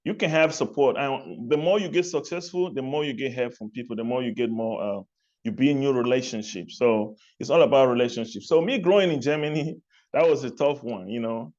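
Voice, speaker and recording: A man from Cameroon, answering interview questions in a relaxed way, repeating phrases and laughing lightly between points. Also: mid-range voice, fairly quick, dull audio. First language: not English. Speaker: guest, music artist and label executive.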